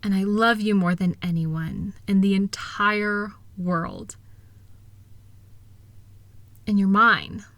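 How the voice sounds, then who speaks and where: Woman acting a gentle, unhurried, loving wife. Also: medium pitch, no echo. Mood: loving, reassuring. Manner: gentle, soothing, affectionate. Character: loving, reassuring wife comforting her spouse. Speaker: audio roleplay voice performer. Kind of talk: intimate comfort audio roleplay.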